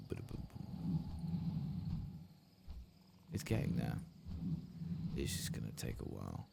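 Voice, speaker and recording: A soft-spoken man murmuring ASMR commentary as he plays a video game. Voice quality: deep, gravelly. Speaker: video game streamer and host. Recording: heavy background noise with game ambience.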